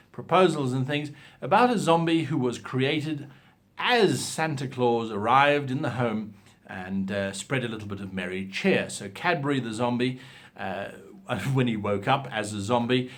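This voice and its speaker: A man presenting role-playing advice in an instructional talk, with a theatrical, emphatic manner and frequent rhetorical questions. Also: medium pitch.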